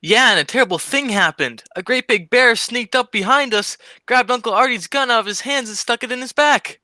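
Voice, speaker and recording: A woman's voice: a little high, brisk, sharp tone, no room sound, studio quality. A woman playing a dim but cheeky male sidekick in an old-time radio comedy, delivering wisecracks with childlike innocence. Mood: innocent.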